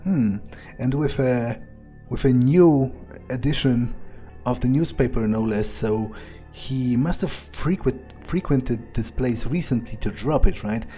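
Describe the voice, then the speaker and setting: A man plays a cynical, impatient male vampire in a video game. He mutters and swears as he thinks aloud, unhurried and mellow in tone, with soft music underneath. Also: medium pitch, lightly acted. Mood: irritated, cynical. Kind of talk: in-character video game playthrough monologue.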